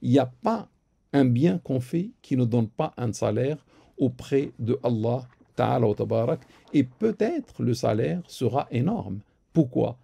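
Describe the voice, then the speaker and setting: Guy answering questions live, lots of euhs, gravelly husky voice. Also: mid-range voice, very animated, measured pace, warm tone. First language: Arabic. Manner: hesitant, many fillers and repeated words. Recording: live stream recording.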